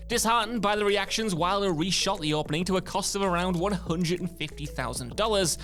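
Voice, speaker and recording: A man with a high-pitched voice narrates a scripted entertainment list video. His voice-over sits on a faint bed of music. The delivery is that of a prepared script read for an online countdown. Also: fairly bright tone.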